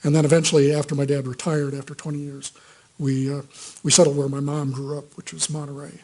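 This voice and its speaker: A middle-aged man testifying in a courtroom, hesitant and quiet, with plenty of pauses. His voice is husky and stays flat in pitch. He speaks into a courtroom microphone. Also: poor audio. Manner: hesitant, soft-spoken, many uh fillers. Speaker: trial witness.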